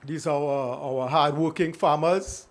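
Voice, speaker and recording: A man's voice: fairly high pitch, steady tone, unhurried. A middle-aged man from Trinidad and Tobago with a Trinidadian accent, giving a conversational keynote presentation at a conference. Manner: conversational, talking to the audience, asks the room questions. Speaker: invited speaker.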